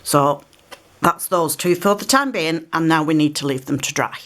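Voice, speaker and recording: A woman's voice: deep voice, normal speed. A woman with a slight cold, chatting casually through a step-by-step craft demonstration at her table. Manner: relaxed, chatty, explains while working. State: has a cold, occasional coughs. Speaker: craft tutorial presenter. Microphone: overhead camera on table, hands shown.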